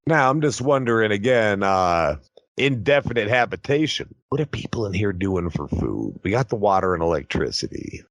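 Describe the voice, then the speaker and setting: A man's voice: lowish voice, big pitch swings, normal speed, no echo. A man co-hosting a podcast in casual comedic banter, with wry, emphatic interjections. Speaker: podcast co-host.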